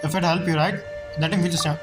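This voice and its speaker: A woman's voice: on the low side, fairly even intonation, fast. A woman giving casual, offhand commentary as she plays a video game, muttering asides. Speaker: game streamer. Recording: distant mic under loud game music.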